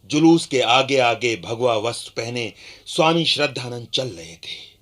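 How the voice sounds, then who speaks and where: Banke Bihari, animated bright-voiced male storyteller. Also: mid-range voice, measured pace. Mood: solemn, proud. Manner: animated, emphatic, declamatory when quoting. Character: Banke Bihari, earnest Indian freedom-era traveller recounting peasant uprisings. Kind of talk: Hindi radio play dialogue, dramatic storytelling.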